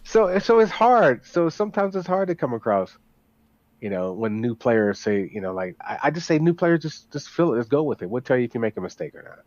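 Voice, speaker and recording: A man chatting casually as a podcast guest, eager and halting, often repeating himself. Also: mid-range voice, very animated, a little mellow, no room sound. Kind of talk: casual chat. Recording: dull, lo-fi dry microphone.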